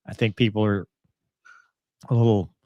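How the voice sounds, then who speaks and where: Low-voiced man in a dry studio sound. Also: steady tone, moderate pace, dark tone, dry recording, highs cut off. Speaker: show host and commentator. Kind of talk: casual panel discussion about aviation.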